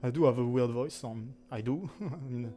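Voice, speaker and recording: A man's voice: medium pitch, moderate pace, music in the background. A man in his twenties with a strong French accent, chatting casually to his gaming channel's viewers, rambling with lots of fillers and self-corrections. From France. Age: 20s. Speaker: gaming YouTuber. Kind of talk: casual anniversary chat to camera.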